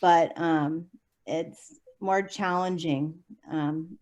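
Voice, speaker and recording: A woman's voice: deep voice, fairly even intonation, extremely slow, darkish tone. A young adult woman, a teacher, answering interview questions in a chatty way with many fillers and light laughs. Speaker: special education preschool teacher.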